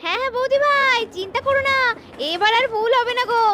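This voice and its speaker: Woman plays cheerful young aunt, apologetic, pleading, extremely high voice, doll cartoon. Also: fast, heavy background noise. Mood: placating. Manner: pleading, placating.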